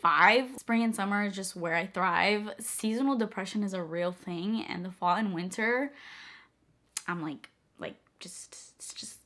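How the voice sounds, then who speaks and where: A young woman talks straight to camera in a bubbly, gushing way, peppering her sentences with "like". Her voice is slightly hoarse. She sounds relaxed and casual, recorded at home. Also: mid-range voice, unhurried. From moved to the U.S. as a child. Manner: bubbly, gushing, many "like" fillers. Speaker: beauty vlogger. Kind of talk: casual chatty vlog.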